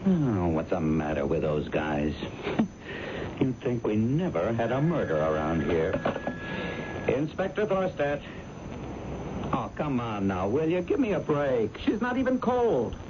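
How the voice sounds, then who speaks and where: An actor playing a harried, sarcastic police inspector in a 1970s radio drama, muttering in a world-weary, offhand way. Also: male voice, mid-range voice, big pitch swings, unhurried, pauses often. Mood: exasperated, sarcastic. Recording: muffled, noisy 1970s radio broadcast.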